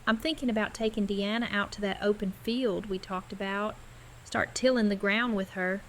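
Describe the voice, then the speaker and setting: Woman acting as a caring practical young woman in an audio drama, plain talk, middle pitch, bit of hiss. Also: fairly even intonation, moderate pace, lightly acted, rough recording. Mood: hopeful. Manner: informal, plain-spoken.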